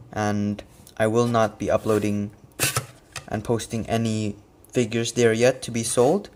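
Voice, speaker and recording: A man's voice: medium pitch, slight background noise. A man casually explaining his custom figure work step by step, with occasional fillers. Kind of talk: casual product walkthrough.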